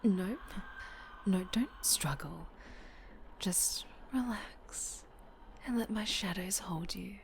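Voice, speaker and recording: A woman playing a possessive, obsessive winged dark-angel superhero, sinister yet doting, in an intimate, breathy ASMR roleplay that often slips toward whispering. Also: medium pitch, slow pace, long pauses, slight background noise. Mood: tender, possessive.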